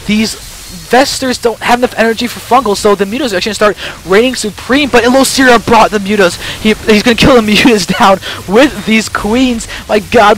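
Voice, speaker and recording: High-pitched young male esports caster. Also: a little hiss or hum, rough recording. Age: young adult. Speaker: esports commentator. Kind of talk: live StarCraft match commentary.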